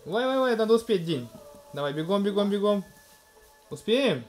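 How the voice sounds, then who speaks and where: Gentle man narrating a game to his toddler. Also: sits high, animated, fairly quick, soft background music. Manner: gentle, coaxing, talks to the child, narrates what is on screen. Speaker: let's-play host playing with his toddler. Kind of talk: casual game commentary to a small child.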